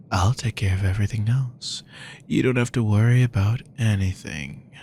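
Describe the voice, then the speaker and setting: A man voicing a possessive, powerful male vampire, speaking slowly with a gravelly voice and frequent whispers, menacing and obsessive, close to the mic. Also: on the low side, darkish tone, slight background noise. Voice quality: gravelly, slow, often whispering. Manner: menacing, possessive, drawn-out delivery. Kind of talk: roleplay audio drama.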